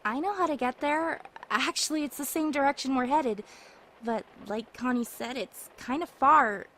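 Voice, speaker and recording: Woman acting a high-voiced, earnest young girl. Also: normal speed, a bit bright. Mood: helpful. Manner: hesitant but earnest, explanatory. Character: youthful, practical, polite girl survivor. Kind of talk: fan audio drama acting.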